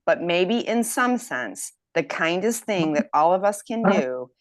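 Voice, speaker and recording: Woman, 50s, low voice, dry close sound; casual, eager testimonial. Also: lively intonation, a little slow, no echo. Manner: enthusiastic, reads quotes aloud. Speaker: health program member. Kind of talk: casual group chat testimonial.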